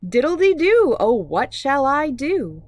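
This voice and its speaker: A panicky little girl from a picture book, voiced by a woman, pitched high and crying out in singsong. Her delivery swoops up and down, with a close, dry sound. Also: very animated, a little slow, no room sound. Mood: singsong dismay. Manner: yelling, exclaiming in singsong. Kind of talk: children's storybook read-aloud character.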